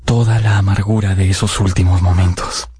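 A man's voice: deep voice, fairly even intonation, moderate pace, natural voice. An actor playing a grieving young man, narrating his lost love in a literary, emotional radio drama style. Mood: mournful. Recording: music under the voice. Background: music.

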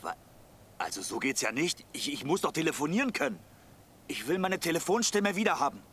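An actor playing a flustered, excitable ordinary man who stammers, in a German radio drama. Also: fairly high pitch, a little fast, a little hiss or hum. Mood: alarmed, indignant. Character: flustered, excitable everyman Herr Baumann.

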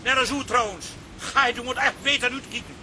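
An actor playing a high-strung, exasperated city man who scolds, in a radio drama. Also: steady tone, a little fast, pushed and bright, a little hiss or hum, heavily acted. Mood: scolding.

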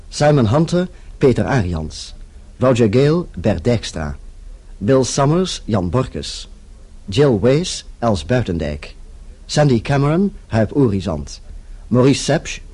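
Husky, mellow male radio announcer. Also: mid-range voice. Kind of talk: formal scripted credits reading.

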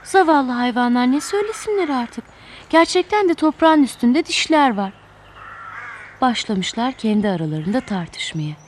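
A woman telling a children's fairy tale in expressive, scripted narration. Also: sits high, normal speed, a little hiss or hum. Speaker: children's storyteller.

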